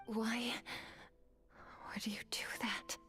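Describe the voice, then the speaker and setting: A woman's voice: fairly high pitch, flat delivery, extremely slow, sharp tone, soft background music, full character voice. A woman voicing a haunted, reserved young warrior disciple in a video game, serious and subdued. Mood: somber. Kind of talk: scripted video game voice acting.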